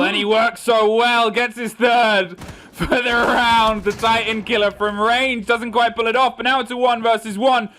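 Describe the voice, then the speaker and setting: A man's voice: very high-pitched, sharp tone, slight background noise. A man giving live commentary and analysis on a competitive video game match. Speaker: esports commentator.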